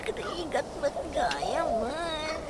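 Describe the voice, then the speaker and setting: Very high-pitched anguished young pregnant daughter. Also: female voice, extremely slow, crowd noise. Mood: pained, pleading. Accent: Filipino. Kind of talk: radio drama acting.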